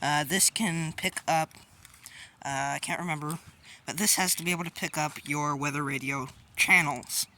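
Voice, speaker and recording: A woman's voice: deep voice, normal speed, sharp tone. A woman giving a casual hands-on tutorial in an informal, offhand, colloquial way. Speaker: DIY video host. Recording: clear background noise with clicks.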